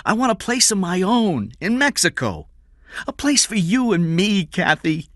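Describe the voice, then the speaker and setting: A man's voice: mid-range voice, sing-song, unhurried, fairly bright tone, no room sound, studio quality, pitched above the performer's own voice. A man voicing a resentful, yearning kidnapper in an audiobook thriller, insistent and embittered. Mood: bitter, insistent. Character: resentful, yearning male kidnapper, poor and determined.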